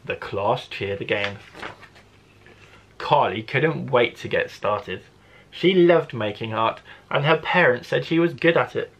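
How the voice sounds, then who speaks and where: Man, schoolteacher storyteller, mid pitch, slightly husky, expressive children's reading, deliberate phrasing. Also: lo-fi sound. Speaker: primary school teacher, storyteller.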